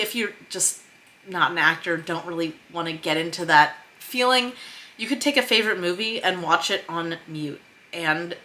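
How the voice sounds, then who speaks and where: A woman's voice: fairly low pitch, sharp tone. A woman explaining craft informally in a vlog, thinking aloud in an emphatic, self-deprecating way. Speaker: screenwriter and director teaching script revision. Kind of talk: informal explainer vlog about script revision.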